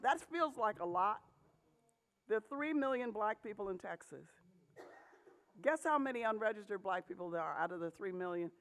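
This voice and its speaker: A woman addressing a group of pastors in an emphatic talk, often checking in with "okay?" and asking rhetorical questions. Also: sits high. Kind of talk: emphatic talk to a church audience.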